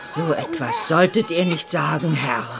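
An actress playing a resolute, insistent medieval midwife who commands yet stays deferential, in a German radio drama. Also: female voice, deep voice, lively intonation, measured pace, vocal fry, exaggerated voice. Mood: subdued. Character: urgent, resolute medieval village midwife, plain-spoken servant woman.

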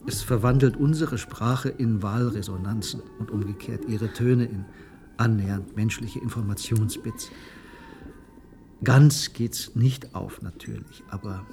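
A man's voice: fairly low pitch, measured pace, creaky, a little mellow, slight background noise. An actor playing an impassioned, cynical male researcher in a radio play, lecturing in an emphatic, sardonic way. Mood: eager explaining. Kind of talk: German radio play acting.

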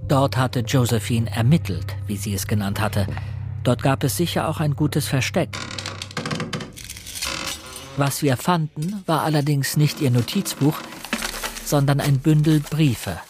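An actor playing an earnest young amateur investigator who narrates and speaks in a German radio crime play. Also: male voice, medium pitch, measured pace, long pauses, lightly acted. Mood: earnest, concerned. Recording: studio radio play with music underneath.

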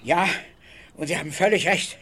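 Stern man played by an actor, forceful, fairly high voice, faintly noisy audio-play recording. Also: normal speed, close to an everyday voice. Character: firm indignant man threatening to call police.

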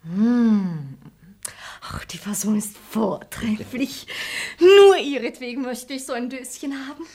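An actress playing a sharp-tongued, coquettish young maid in a radio play. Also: female voice, a little high, expressive, slow. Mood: pert. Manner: pert, mocking, coaxing.

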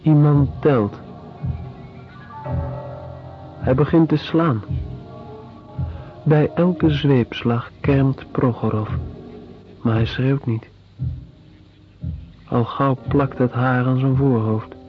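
A dull radio-play recording with faint music behind. An actor as a sober witness speaks at mid pitch and very slowly, in grave, deliberate short phrases. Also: male voice, steady tone, natural voice. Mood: grim. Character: sober male witness recounting a prison flogging. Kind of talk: slow dramatic monologue in radio play.

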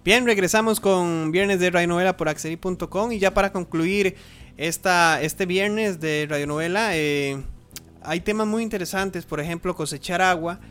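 A man presenting a radio show informally, haltingly searching for his words. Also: high voice, a bit bright, smooth, soft background music. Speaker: host.

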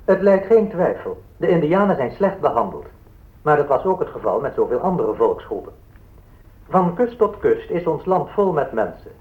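Formal newsreader, muffled recording. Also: male voice, on the high side. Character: formal male newsreader reporting a presidential statement. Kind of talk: formal news reading in radio play. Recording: dull, lo-fi radio recording.

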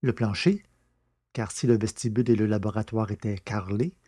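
A man's voice: lowish voice, fairly quick, mellow, husky, dry recording, clean studio sound. A man reading scripted literary narration as the narrator of an audiobook.

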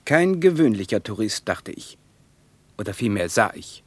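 A young Russian teacher, guarded yet teasing. His voice is on the low side and dryly recorded. He speaks in a probing, deliberate way, as in radio drama. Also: big pitch swings, breathy edge, no room sound. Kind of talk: German radio play dialogue.

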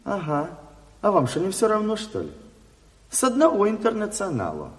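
An actor playing a cocky, wheedling Ukrainian swindler in a Soviet radio drama, with a quarrelsome drawl. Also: male voice, high voice, wildly swooping pitch, normal speed. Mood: insolent. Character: brazen Ukrainian swindler posing as a revolutionary invalid. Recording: reverberant studio radio play recording.

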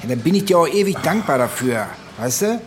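A man's voice: fairly high pitch, very animated, a little fast, a little hiss or hum. An actor playing an earnest, dry policeman with a colloquial way of talking in a radio drama. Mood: earnest, frustrated. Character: earnest, dry policeman nephew asking favours.

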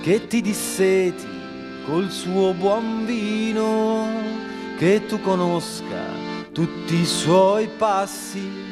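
A man performing a grieving, bereaved figure in a poetic theatrical lament, delivered in measured verse over music. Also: high-pitched, steady tone. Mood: mournful, accusing. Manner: slow, measured, verse-like phrasing. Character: grieving bereaved person confronting a killer. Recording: prominent music under the voice. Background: live musical accompaniment.

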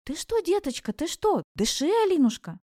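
Woman voicing a kindly, knowing old granny, higher-pitched. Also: lively intonation, moderate pace, mellow, no echo, studio quality, natural voice. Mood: alarmed. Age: older. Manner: tender, folksy, knowing reassurance. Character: kindly old village granny, gently chiding and soothing. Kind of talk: audiobook character voice.

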